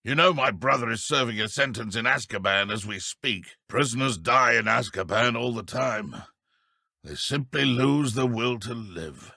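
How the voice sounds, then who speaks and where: A cold-blooded, haughty British dark wizard henchman for a video game. The voice is sharp and gravelly, recorded close and dry, with animated swings in pitch that give it a smug menace. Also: normal speed, dry recording, heavily acted. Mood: smug, conversational. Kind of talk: video game character voice lines.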